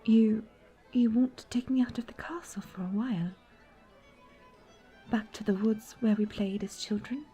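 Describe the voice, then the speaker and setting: A woman playing a gentle, troubled young princess in a fantasy romance audio roleplay, speaking softly and intimately in courtly, old-fashioned phrasing. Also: a little high, moderate pace, long pauses, breathy edge. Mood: tender. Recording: background music.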